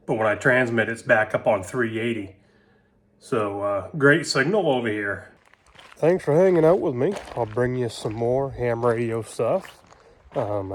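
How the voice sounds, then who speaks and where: A man hosting a ham radio vlog, explaining contacts in a folksy, rambling way full of "you know" and "uh". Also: lowish voice. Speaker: ham radio hobbyist and channel host.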